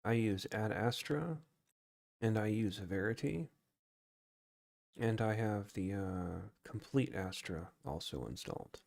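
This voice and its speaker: Low-voiced man streaming a game. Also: slow, creaky, darkish tone. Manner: musing, thinking aloud. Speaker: live streamer playing a space game. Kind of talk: casual gameplay commentary.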